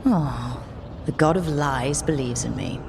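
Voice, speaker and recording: Fantasy game lead: resolute and wry, plain delivery, ringing hall sound over clear noise. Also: medium pitch, a little slow. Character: determined, dryly wry young hero of a fantasy game. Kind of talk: scripted fantasy game dialogue.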